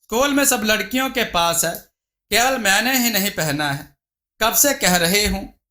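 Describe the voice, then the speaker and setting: An affectionate schoolgirl from a moral tale, voiced by a male narrator, coaxing her mother in a childlike way. The voice is high and bright, with an unhurried delivery. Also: expressive, full character voice. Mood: eager, pleading.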